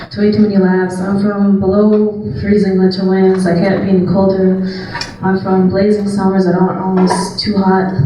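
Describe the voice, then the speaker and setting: A woman's voice: medium pitch, dark tone. A teenage girl reading her spoken word poem aloud in a school classroom. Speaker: student poet. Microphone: handheld mic filmed from far back. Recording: far-off echoey room, background noise.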